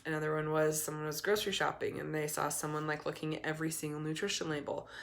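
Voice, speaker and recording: A young adult woman, hoarse and raspy from a cold, talking candidly to camera as a recovery coach, with many ums and restarts. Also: low-pitched, little pitch movement, fast talker. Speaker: eating disorder recovery coach. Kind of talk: casual talk to camera.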